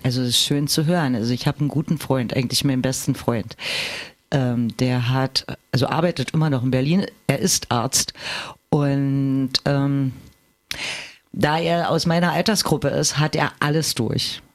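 A man's voice: mid-range voice, steady tone, moderate pace. A middle-aged or older man talking casually and personally, asking questions and sharing experience. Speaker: conversation participant asking questions.

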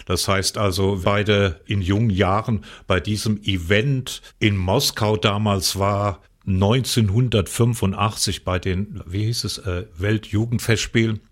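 Older radio co-host from the Palatinate, low voice, loose reminiscing storyteller. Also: male voice, a little slow, hardly any pauses. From Palatinate, West Germany. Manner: reminiscing, relaxed storytelling with fillers. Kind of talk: casual radio talk.

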